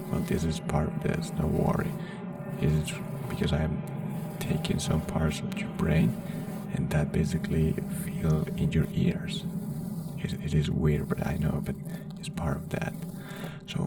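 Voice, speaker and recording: Slow whispering man, low and gravelly. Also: little pitch movement, dark tone, recorded from a distance, soft background music. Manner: slow soothing whisper with frequent fillers. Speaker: ASMR roleplay doctor. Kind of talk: whispered ASMR roleplay.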